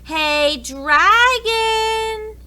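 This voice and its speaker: A woman voicing a bold, clever storybook princess, eager and challenging as she flatters. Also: very high-pitched, extremely slow, slight background noise, voice pushed up, exaggerated voice. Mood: excited, shouting.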